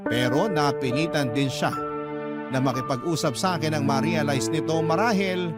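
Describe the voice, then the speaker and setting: A man's voice: fairly high pitch, moderate pace. A man with a Filipino accent narrating a scripted first-person story for radio drama. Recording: prominent music bed under narration.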